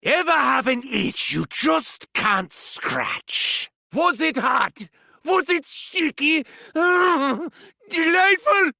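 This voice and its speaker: Wildly swooping, gleeful German mad scientist. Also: male voice, very high-pitched, animated, very slow, vocal fry, pushed and bright, no echo, studio quality, heavily acted. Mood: manic glee. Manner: theatrical, swooping from gleeful shrieks to sinister murmurs. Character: gleeful sadistic mad scientist villain, theatrical and taunting. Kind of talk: video game character voice lines.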